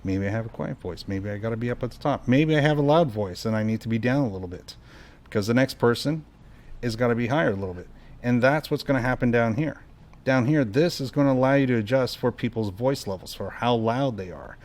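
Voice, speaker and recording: A man hosting a hands-on equipment tutorial, calmly explaining each step as he adjusts the controls. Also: mid-range voice, fairly quick. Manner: relaxed, explanatory, talks through each step while adjusting knobs. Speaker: product tutorial host. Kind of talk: casual hands-on equipment demo.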